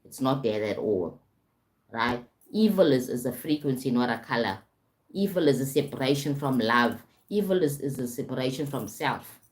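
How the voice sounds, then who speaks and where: A woman with a remarkably deep, low voice hosts a casual spiritual live stream. She talks loosely and keeps checking in with her viewers, repeating words as she goes. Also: expressive, normal speed. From South Africa. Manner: repetitive, frequent "right?" check-ins. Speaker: livestream host, spiritual coach.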